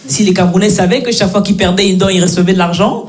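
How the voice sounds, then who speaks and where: A woman in her twenties from Belgium doing live stand-up storytelling, animated and sarcastic, acting out conversations. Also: medium pitch, racing. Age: 20s. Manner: animated, acts out dialogues, sarcastic. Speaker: stand-up comedian. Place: comedy venue before an audience. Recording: live stage with audience laughter.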